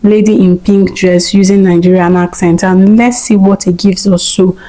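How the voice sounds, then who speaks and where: A woman hosting a screen-recorded software tutorial, calmly explaining each step in order. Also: medium pitch, moderate pace, low-quality recording. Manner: calm, step-by-step instructing. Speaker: YouTube tutorial host.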